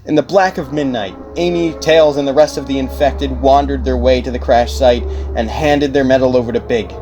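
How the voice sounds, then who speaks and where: A man's voice: mid-range voice, fairly even intonation, moderate pace. Male audiobook narrator over music. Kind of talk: scripted dramatic narration.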